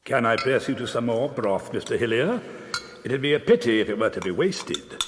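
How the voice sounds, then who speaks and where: Man playing an animated, pedantic old Scottish steward. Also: medium pitch, moderate pace, in a large hall, background noise, lightly acted. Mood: hospitable. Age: 60s. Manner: lecturing, formal, scornful of superstition. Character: pedantic, frugal old Scottish steward and librarian in his sixties. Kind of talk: radio drama acting.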